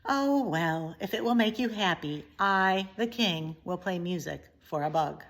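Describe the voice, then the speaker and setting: A woman's voice: lowish voice, expressive, a little slow. A woman voicing a pompous, stubborn king and doting father in a children's storybook, with emphatic delivery. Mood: grudging.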